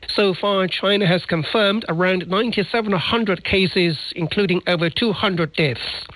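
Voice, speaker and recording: A man's voice: sits high, unhurried, fairly bright tone, dry recording, poor audio. A man reading scripted news as a radio anchor, heard over a shortwave broadcast.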